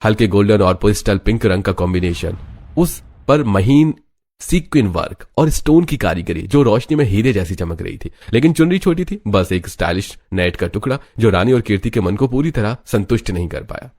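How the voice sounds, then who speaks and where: A man's voice: lowish voice, animated, moderate pace, darkish tone. A man narrating a scripted dramatic family story as a storyteller. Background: background music.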